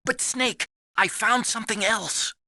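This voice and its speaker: An actor playing a nerdy, excitable computer hacker in a video game, earnest and eager as he explains things. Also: high-pitched, unhurried, no room sound, full character voice. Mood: urgent, explanatory. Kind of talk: dramatic video game voice acting.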